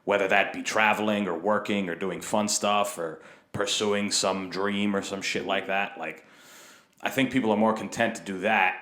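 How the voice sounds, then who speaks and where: Young man, podcast host, very deep voice, blunt, profane, roomy echo. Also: a bit bright. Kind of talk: casual chat. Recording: echoey room.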